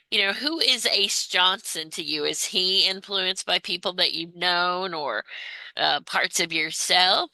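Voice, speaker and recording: A woman's voice: on the low side, sharp tone, no room sound, low-quality recording. A woman interviewer asking questions in an informal, attentive, conversational way. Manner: informal, attentive, acknowledges answers before asking.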